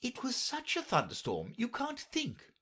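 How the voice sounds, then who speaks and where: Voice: mid-range voice, wildly swooping pitch, measured pace, bright, forceful tone, husky, voice pushed up, heavily acted. A reader voicing a flustered, rambling queen in a storybook audiobook, with a fluttery, anxious manner. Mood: fretful. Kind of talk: audiobook character voice.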